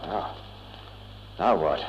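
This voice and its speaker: An actor playing an earnest captive space explorer in a vintage science-fiction radio drama, dramatic and questioning. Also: male voice, low voice, very slow. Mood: hushed, pondering. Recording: muffled, hissy old tape, long reverb.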